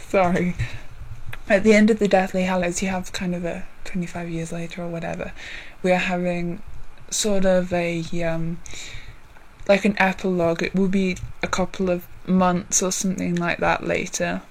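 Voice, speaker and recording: A woman vlogger giving a casual chatty update, deliberately speaking in a low voice, rambling with many ums and apologies. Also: low-quality recording. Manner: deliberately lowered voice.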